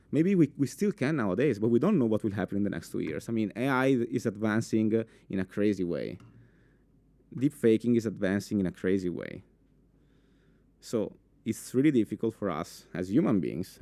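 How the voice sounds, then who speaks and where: A young man with an Italian accent giving a live conference talk in a full room, animated, with many fillers and restarts, asking the audience questions. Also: fairly low pitch, gravelly, no echo. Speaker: conference speaker.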